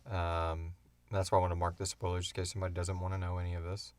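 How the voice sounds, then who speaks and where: A man co-hosting a podcast, answering questions in casual, informal, halting talk. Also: low voice, level tone, darkish tone, dry recording. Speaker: podcast co-host and show creator. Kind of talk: casual Q&A chat.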